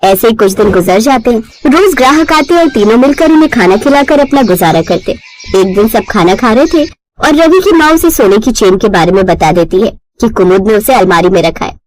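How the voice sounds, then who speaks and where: A woman narrates a story from a script. Her voice sits fairly high, and she reads as a storyteller. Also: lively intonation, brisk, a little mellow, dry recording, low-quality recording.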